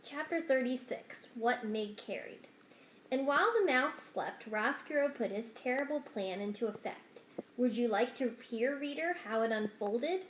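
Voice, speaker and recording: A woman reading a children's novel aloud as expressive storytelling narration. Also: on the high side, slight background noise. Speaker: story reader. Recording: roomy indoor echo.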